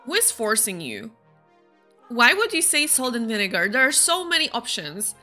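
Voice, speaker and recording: A bright, rather high-voiced young woman with a Slovak accent, talking casually to her viewers over a game. She chats on, answering chat messages one after another, with soft game music playing faintly behind her. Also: sing-song, normal speed. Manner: chatty, reads chat messages aloud and answers them. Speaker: streamer. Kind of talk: casual chat while gaming.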